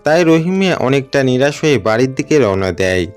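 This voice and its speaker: A man's voice: mid-range voice, sing-song, fairly quick, smooth, faint music behind. A man narrating a scripted cartoon story as a storyteller.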